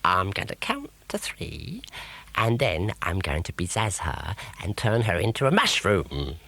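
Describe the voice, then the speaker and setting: A man's voice: low-pitched, wildly swooping pitch, measured pace, vocal fry, pushed and bright, exaggerated voice. A man voicing a bossy, irritable witchy villainess who scolds and threatens imperiously in a children's story. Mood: menacing.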